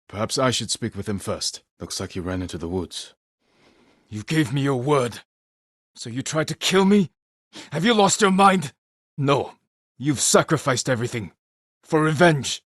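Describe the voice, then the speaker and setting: An actor voicing a grave, determined samurai warrior in a video game, speaking in measured, solemn, terse lines. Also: male voice, medium pitch, a bit bright, no room sound, clean studio sound. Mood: grave, focused, then angry, betrayed. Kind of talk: dramatic game character dialogue.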